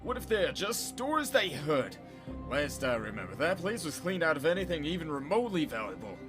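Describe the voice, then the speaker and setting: A man's voice: fairly high pitch, big pitch swings, brisk. A man playing a worried, skeptical friend in an audio drama, earnest and cautioning. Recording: music behind the voice.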